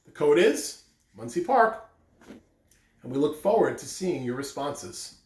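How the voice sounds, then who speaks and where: A man reading aloud to children in an expressive storytelling style, with deliberate emphasis on key words. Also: on the high side. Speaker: school staff member reading aloud on morning announcements. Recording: roomy echo, distant mic, faint background noise.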